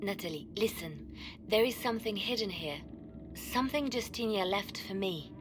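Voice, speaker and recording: An actress playing a poised, devout and steely spymaster in a video game cutscene, speaking in a controlled, deliberate way. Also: female voice, mid-range voice, animated, natural voice. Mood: determined. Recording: music behind the voice.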